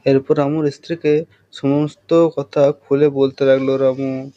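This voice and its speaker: A man's voice: medium pitch, flat delivery, a little slow, mellow, highs cut off, faint music behind. A man narrating a scripted cartoon story as a storyteller.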